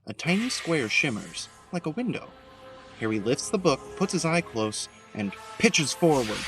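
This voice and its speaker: Man narrating dramatically, medium pitch. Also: fairly bright tone, recorded from a distance.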